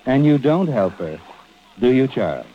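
A man's voice: medium pitch, very animated, normal speed, natural voice. An actor as an ominous, knowing narrator of an old-time radio mystery, insinuating and taunting as he addresses the listener. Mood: sinister, knowing. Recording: dull old radio recording.

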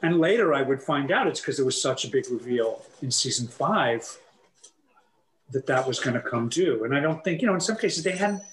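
A man co-hosting a casual podcast chat, telling animated stories and acting out the lines of the people in them. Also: medium pitch, normal speed, echoey room, poor audio. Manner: animated storyteller who acts out quoted dialogue. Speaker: actor and podcast co-host.

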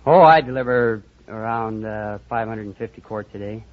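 A man's voice: fairly low pitch, moderate pace. An American man from Montana answering as a quiz contestant, folksy and a little hesitant as he tells things conversationally. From Montana, United States. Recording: dull old radio recording.

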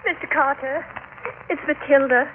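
An actress playing a flustered, evasive, hesitant older sister in a 1940s radio drama. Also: female voice, high-pitched, steady tone, moderate pace, natural voice. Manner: hesitant, fluttery. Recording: tinny, noisy old radio broadcast.

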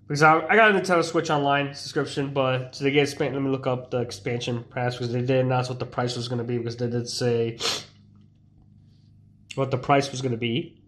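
A young man with a stuffy, congested voice talks to his viewers in a loose, rambling way. He keeps restarting his sentences and leaning on fillers as he chats. His pitch sits in a middling range for a man. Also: fairly even intonation, brisk, fairly bright tone. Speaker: streamer. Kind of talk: casual live-stream chat.

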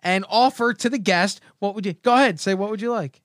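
A man's voice: high-pitched, fairly quick, a bit bright. A man in his thirties hosting a casual comedy chat, jokingly reading out odd news stories. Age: 30s. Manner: jokey, reads news stories aloud with asides. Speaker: podcast host.

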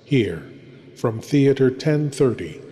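A man's voice: medium pitch, lively intonation, slow, dark tone. A man playing a sinister, theatrical horror host who introduces eerie tales in a dramatic, ominous manner. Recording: long cavernous reverb.

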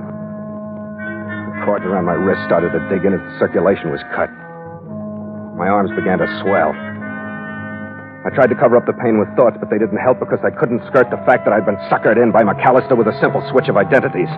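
An actor playing a hard-boiled American ship's skipper, terse and sardonic, in a vintage radio adventure. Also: male voice, lowish voice, narrow, tinny audio, close to an everyday voice. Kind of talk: 1940s-50s American radio adventure acting and narration. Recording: old band-limited radio recording with music.

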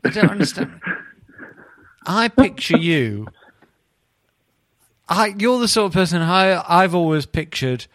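A man's voice: sits high, sing-song, unhurried, long pauses, fairly bright tone, dry recording. A man hosting a podcast in casual comic banter, teasing his co-hosts with mock bafflement. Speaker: podcast host.

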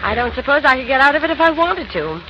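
An actress playing a composed, coy young woman who gently teases, in 1940s radio acting. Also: high voice, big pitch swings, quick pace. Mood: tender, teasing. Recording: noisy, muffled 1940s radio recording.

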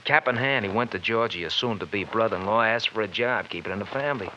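Deep, sardonic young wise guy. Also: fast talker, pushed and bright. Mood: bitter, mocking. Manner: wisecracking, stylized slangy patter. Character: bitter, sardonic young bowling-alley man, failed lawyer. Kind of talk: 1950s radio crime drama acting. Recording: muffled, noisy old radio recording.

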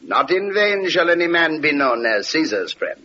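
An actor playing a magnanimous, imperious Roman emperor in a classic radio drama, speaking in a grand, stately manner. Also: male voice, fairly high pitch, very animated, normal speed. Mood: grandly generous. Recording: dull, noisy old radio recording.

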